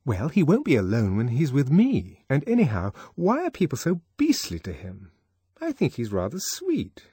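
A man's voice: mid-range voice, huge pitch swings, moderate pace, dark tone, breathy edge, no echo, clean studio sound, voice pushed up. A man voicing a breezy, teasing young woman in an audiobook reading.

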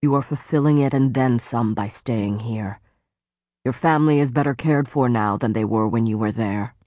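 A woman voicing a gruff male faerie lord. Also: moderate pace, dark tone, no room sound, clean studio sound. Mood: cold. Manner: low, clipped and scornful.

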